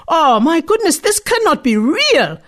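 A woman voicing a rude, greedy girl in a told folktale, speaking loud and mocking. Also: very high-pitched, big pitch swings, a little slow, voice pushed up, full character voice. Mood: brash.

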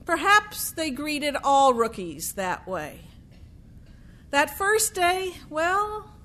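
A woman telling a true sports history story in a deliberate way, with dramatic pauses and emphasis. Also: high voice, fairly bright tone.

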